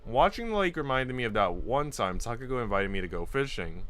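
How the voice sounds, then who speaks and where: A man's voice: medium pitch, big pitch swings, fairly quick, fairly bright tone, a little hiss or hum. A man streaming a story read-aloud, with casual, slangy chat to his viewers. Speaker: streamer reading a visual novel aloud. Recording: music playing behind the voice. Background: guitar and instrumental music.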